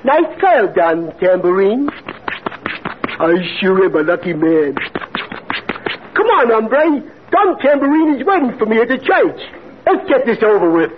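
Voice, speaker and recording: An actor playing a cocky, oblivious Spanish bridegroom villain in an old radio comedy, nonchalant and needling with hesitant openings. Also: male voice, sits high, very animated, unhurried. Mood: smug. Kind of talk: 1950s radio comedy acting. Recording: tinny old radio recording, studio audience noise.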